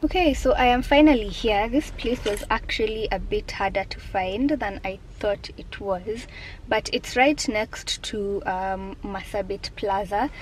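A young woman in her twenties with a Kenyan accent, chatting brightly to a camera held at arm's length, first in a car and then at an outdoor restaurant. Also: mid-range voice, unhurried, slight background noise, low-quality recording. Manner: bubbly, chatty, mixes in Swahili words. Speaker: vlogger. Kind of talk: casual vlog chat. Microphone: handheld camera at arm's length.

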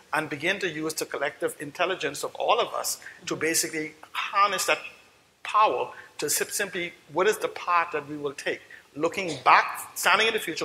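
A man's voice: a little high, sharp tone. A middle-aged Bahamian man on a conference panel, speaking emphatically and repeating phrases for effect. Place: large conference hall stage. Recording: long hall reverb, faint noise.

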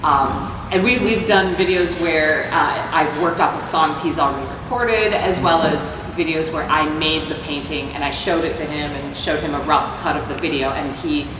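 A low-voiced woman rambles casually, filling her answers with ums. She is recorded far from the camera in a large hall that is very echoey and noisy. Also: moderate pace, hardly any pauses. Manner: casual, rambling, many fillers. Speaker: panelist, artist. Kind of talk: panel discussion. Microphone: handheld mic, far camera. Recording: echoey hall, distant mic.

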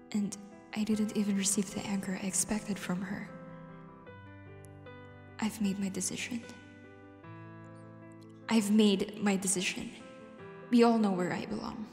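A woman's voice: medium pitch, a little mellow, lightly acted. A woman playing a proud, conflicted rich woman in an amateur radio drama. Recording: reverberant, with music behind.

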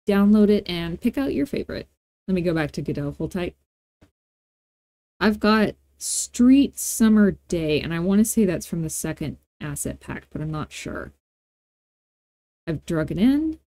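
A woman hosting a casual software tutorial, talking in an informal, chatty way with playful asides. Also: lowish voice, animated, measured pace, no room sound. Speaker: tutorial host.

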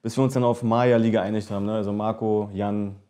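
A man's voice: on the low side, little pitch movement, fairly quick, warm tone. A man chatting casually as a guest and fellow YouTuber. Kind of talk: casual chat.

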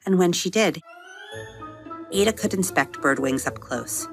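A woman with a notably low voice narrates a children's bedtime story gently and expressively. Soft music plays clearly beneath her words. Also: animated, unhurried. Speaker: podcast host and storyteller.

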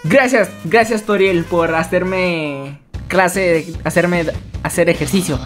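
A woman giving casual, slangy gameplay commentary and reading game text aloud, in an excitable way. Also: lowish voice, takes it slowly, soft background music. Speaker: Let's Play gamer.

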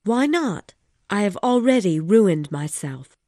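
A man voicing an angry, heartbroken noblewoman in an audiobook, loud and shrill. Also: big pitch swings, takes it slowly, no room sound, studio quality, exaggerated voice. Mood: upset. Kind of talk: audiobook reading.

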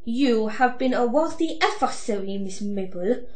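A woman's voice: on the high side, animated, measured pace, a little mellow, roomy sound. A woman playing a coolly gloating female doctor villain in an amateur audio drama, speaking with formal, mock-polite theatricality. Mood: coolly menacing.

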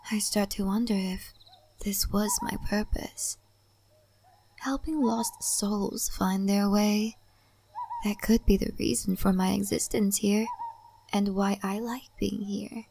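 A woman playing a calm, wise, mysterious guide of a spirit forest in an ASMR roleplay, speaking gently and softly, often in a breathy whisper, with pauses. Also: medium pitch, slight background noise. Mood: calm, reflective. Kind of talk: soft-spoken, half-whispered ASMR roleplay.

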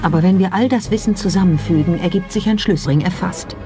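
Documentary narrator over soft music. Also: medium pitch, a little fast.

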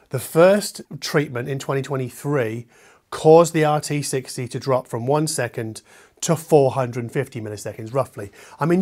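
A middle-aged man speaks to camera as the host of an audio channel. His voice sits a little higher than average for a man. He explains in a conversational, emphatic way, dropping into muttered asides, captured on a lavalier mic in a living room. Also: darkish tone. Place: treated living room indoors. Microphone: omnidirectional lavalier.

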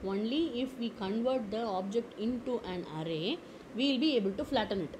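Woman explaining software step by step. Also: a little high, normal speed, noisy background. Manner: explanatory, frequent "okay" and "uh" fillers. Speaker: tutorial instructor. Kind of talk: screen-recorded software tutorial. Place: at a computer.

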